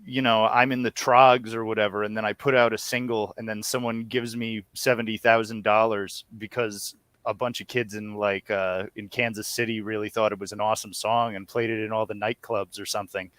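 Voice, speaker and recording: Rambling man chatting casually, low-key and dry-sounding. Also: little pitch movement, brisk, hardly any pauses, fairly bright tone, no room sound. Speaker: guest, audio series co-creator and musician. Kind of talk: casual interview chat.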